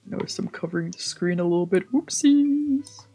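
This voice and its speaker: A streamer reading a visual novel aloud in a playful, expressive way, with casual asides. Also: mid-range voice, moderate pace, slightly rough, soft background music. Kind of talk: let's play voice acting with casual asides.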